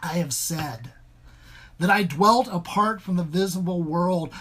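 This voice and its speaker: A bookish, haunted gentleman tells his own gothic tale, read aloud by a man. He speaks formally and deliberately in ornate phrases. He is a confined dreamer, defensive about his sanity, in a dramatic story reading. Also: sits high, slight background noise. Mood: solemn, confiding.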